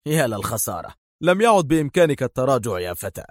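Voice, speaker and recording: Male narrator as secret agent, sardonic, self-assured, unhurried, calm, spy thriller. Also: medium pitch, no room sound, studio quality, natural voice. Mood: mocking.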